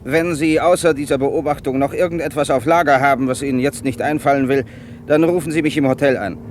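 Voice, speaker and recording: A man's voice: mid-range voice, brisk, a little hiss or hum, close to an everyday voice. A man playing a probing, businesslike police inspector in a German radio crime drama, questioning insistently in clipped phrases. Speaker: actor.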